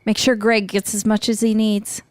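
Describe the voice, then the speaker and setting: A woman's voice: medium pitch, flat delivery, moderate pace, close to an everyday voice. Woman acting as guarded militia leader, noisy surroundings. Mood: guarded, tender. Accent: American. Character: tough, guarded female leader of a post-apocalyptic neighborhood militia. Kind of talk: naturalistic radio drama acting.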